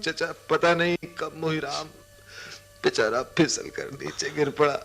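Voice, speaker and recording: An actor playing a simple, soft-hearted younger elephant handler in a radio drama, with halting, earnest, pleading speech. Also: male voice, on the high side, steady tone, creaky, faint music behind. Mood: shaken, distressed. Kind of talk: Hindi radio drama acting.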